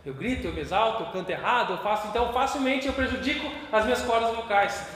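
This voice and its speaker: A Brazilian man preaching a sermon with passion, moving between hushed and loud. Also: high-pitched, fast. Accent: Brazilian Portuguese. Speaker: pastor. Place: church hall. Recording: echoey church hall with long reverb.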